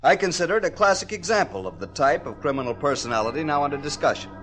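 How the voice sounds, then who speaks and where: A man's voice: fairly high pitch, animated, fast talker, a bit bright, faint music behind. An American man playing a self-assured psychology professor in a 1950s radio drama, emphatic and certain. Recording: vintage radio broadcast.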